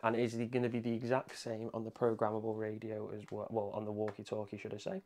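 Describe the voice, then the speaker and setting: A man's voice: fairly low pitch, monotone, fast, darkish tone. A man presenting a casual hands-on tech tutorial, explaining things in an informal, conversational way. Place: indoor room at a home desk.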